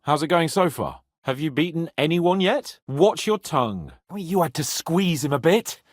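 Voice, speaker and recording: An actor playing a brash, haughty young medieval nobleman in a video game, sardonic and hot-tempered, with a slightly husky voice. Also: fairly high pitch, normal speed, no room sound, studio quality. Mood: matter-of-fact. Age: young adult. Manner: haughty, sarcastic, swearing, expressive.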